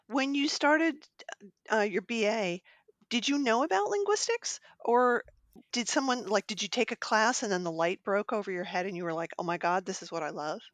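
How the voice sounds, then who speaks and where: A woman's voice: mid-range voice, animated, moderate pace, fairly bright tone, no room sound. A woman hosting a casual interview, talking informally with conversational asides. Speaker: podcast interviewer.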